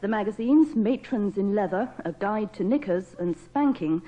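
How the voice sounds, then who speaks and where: A woman's voice: medium pitch, expressive, normal speed, mellow. A British woman reading radio news and struggling to keep her composure. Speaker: radio newsreader. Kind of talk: news reading breaking into giggles. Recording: muffled archive tape, people in studio.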